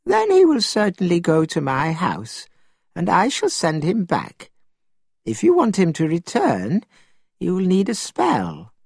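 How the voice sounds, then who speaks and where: A man voicing a kindly, mysterious old woman in a storybook, speaking in a gentle, instructing manner. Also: high-pitched, wildly swooping pitch, unhurried, vocal fry, slightly rough, no room sound, studio quality, pitched above the performer's own voice, exaggerated voice. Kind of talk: storybook character voice.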